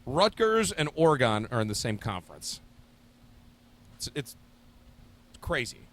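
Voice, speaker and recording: A man's voice: medium pitch, very animated, measured pace, a bit bright. A man co-hosting a podcast, chatting casually about football with dry asides. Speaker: podcast co-host. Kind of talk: casual sports chat. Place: sports bar.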